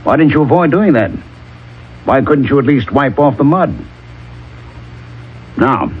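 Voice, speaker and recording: An actor playing a hard-nosed, methodical police lieutenant with a dry, blunt manner in a 1970s radio drama. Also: male voice, medium pitch, lively intonation, unhurried, husky. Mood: accusing, methodical. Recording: muffled, faintly noisy old radio broadcast.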